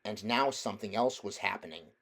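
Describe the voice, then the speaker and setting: A man reading a children's novel aloud as a narrator, in a measured, deliberate storytelling manner. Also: on the low side, fairly bright tone. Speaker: audiobook reader.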